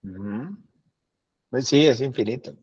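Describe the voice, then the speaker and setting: A man hosting a podcast, asking questions in a halting way and rephrasing them as he goes. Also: medium pitch, animated, a little mellow, slightly rough. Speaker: podcast host. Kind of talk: interview questions. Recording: dull lo-fi sound.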